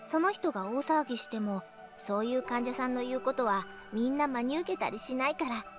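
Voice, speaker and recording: A woman's voice: sits high, expressive, racing, music in the background. A woman playing a mysterious, mischievous and affectionate young girl in a Japanese visual novel. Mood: impish.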